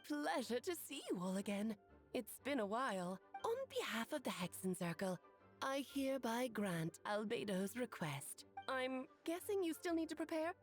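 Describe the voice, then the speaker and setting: Woman playing a high, lilting, whimsical mage. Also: animated, moderate pace, faint music behind, lightly acted. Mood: gracious. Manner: airy, affectionate, theatrical. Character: whimsical, gracious woman mage disguised as giant plush creature. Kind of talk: video game voice acting.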